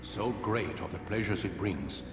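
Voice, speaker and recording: An actor playing a calm, authoritative master of an assassin order in a video game cutscene, speaking in a measured, formal and commanding way. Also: male voice, sits low. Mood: calm authority. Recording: echoey hall reverb under loud music.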